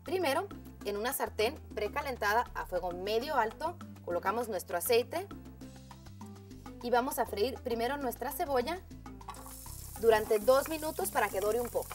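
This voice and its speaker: A woman with a fairly high voice hosts a cooking demonstration, walking the viewer through each step in an upbeat, friendly way. Faint music plays under her voice, with a pan sizzling nearby. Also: animated, normal speed. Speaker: cooking show host.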